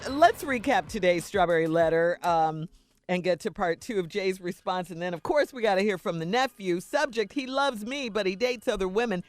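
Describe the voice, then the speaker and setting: A woman's voice: medium pitch, animated, moderate pace. An American woman hosting a conversational morning radio advice segment, speaking frankly and admonishingly. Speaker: radio host.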